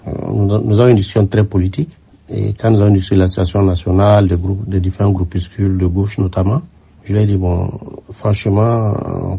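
An older man from Burkina Faso, a former military aide, answering interview questions in a measured, reflective way with frequent hesitations. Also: deep voice, gravelly, slightly rough. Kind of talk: radio interview. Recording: muffled lo-fi sound.

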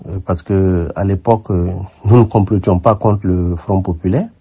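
Low husky older man, measured. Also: fairly even intonation. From Burkina Faso. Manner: measured, reflective, with frequent hesitations. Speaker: former military aide, interview guest. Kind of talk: radio interview. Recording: muffled lo-fi sound.

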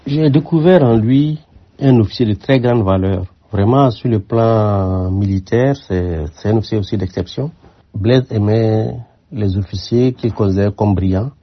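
An older man from Burkina Faso, a former military aide, answering interview questions in a measured, reflective way with frequent hesitations. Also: lowish voice. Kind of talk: radio interview. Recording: muffled lo-fi sound.

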